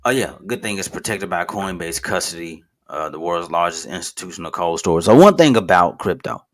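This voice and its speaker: A man in his thirties with a very low, deep voice talks casually through a finance walkthrough. He rambles, restarts his sentences and corrects his own numbers. He speaks informally and keeps addressing his viewers as "guys". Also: lively intonation, a little fast. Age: 30s. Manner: rambling, self-correcting, with frequent fillers and restarts. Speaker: finance video host. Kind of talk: casual screen-share commentary.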